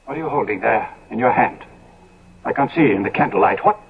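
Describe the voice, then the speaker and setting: An actor playing a decadent, world-weary, easily appalled gentleman in 1970s radio drama, with an exclamatory, declamatory delivery. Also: medium pitch, animated, a little fast, gravelly, crowd noise. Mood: wary, weary. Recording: dull vintage radio recording.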